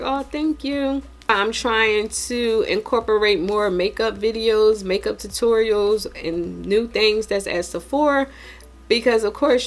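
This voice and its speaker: A woman in her forties with an American accent, chatting casually and informally to viewers as a vlogger and repeating points for emphasis. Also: medium pitch. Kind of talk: casual unboxing chat to viewers.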